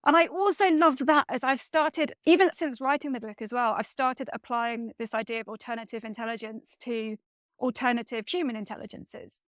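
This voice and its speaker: A woman speaking as a guest author in a reflective interview, thoughtful and often pausing to search for her words. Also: on the high side, dry recording, clean studio sound.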